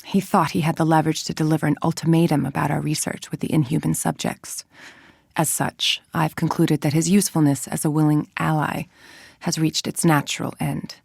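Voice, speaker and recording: Game villainess, scientist. Deep female voice, dry close recording, icy, precise and clinical delivery. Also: steady tone, normal speed, creaky, a little mellow, breathy edge, dry recording, exaggerated voice. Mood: cold, ruthless. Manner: clinical, articulate, controlled, formal. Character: cold, calculating villainous scientist woman running a research group. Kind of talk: scripted game voice-over, audio log monologue.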